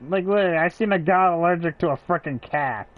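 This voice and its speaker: A man's voice: on the high side, fast, dry recording, lo-fi sound. A man giving casual playthrough commentary on a game stream and reading dialogue aloud.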